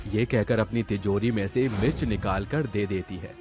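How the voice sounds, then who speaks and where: A man's voice: on the low side, normal speed. A man narrating a scripted story as its narrator. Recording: loud music behind the voice.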